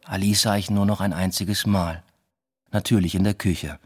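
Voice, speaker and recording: A man's voice: low voice, steady tone, moderate pace, darkish tone, breathy edge. An actor playing a reserved young man who tells of a new love affair in a radio drama, understated and matter-of-fact. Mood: reflective, understated. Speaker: narrator and lead character. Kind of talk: first-person radio drama narration.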